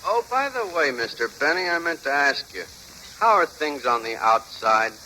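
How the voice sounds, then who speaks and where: An actor playing an innocent, out-of-touch old vault guard with an earnest deadpan in old-time radio comedy. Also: male voice, fairly high pitch, normal speed, exaggerated voice. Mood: earnest, naive. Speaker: comic actor. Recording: noisy crackly old radio recording.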